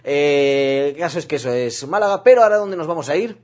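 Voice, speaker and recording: A man's voice: a little high, brisk. A man co-hosting a radio film show, chatting and joking with a teasing manner. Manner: jokey, laughs often, teasing. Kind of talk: casual joking radio chat.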